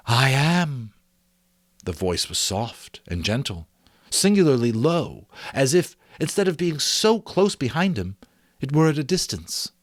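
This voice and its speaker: A man narrating a storybook in a theatrical, expressive way. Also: medium pitch, unhurried, no echo, studio quality. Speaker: amateur audiobook narrator. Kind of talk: dramatic storybook narration with casual asides.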